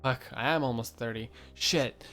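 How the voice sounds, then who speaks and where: A man in his twenties talks casually to his viewers, stumbling through restarts and fillers. His pitch sits in an everyday middle range. A little music plays faintly behind him. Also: normal speed, a bit bright. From Europe. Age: 20s. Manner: rambling, many fillers and restarts, laughs often. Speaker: YouTuber. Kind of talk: casual livestream chat.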